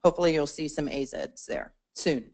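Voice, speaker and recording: A woman's voice: deep voice, sing-song, a little fast, darkish tone. A woman presenting to a membership meeting, explaining a support portal conversationally, with fillers and restarts. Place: meeting room.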